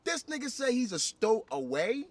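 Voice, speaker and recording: Excitable profane man hosting interview. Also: very high voice, moderate pace. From Ghana. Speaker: vlog interviewer. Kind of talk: casual street interview. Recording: distant mic with background noise.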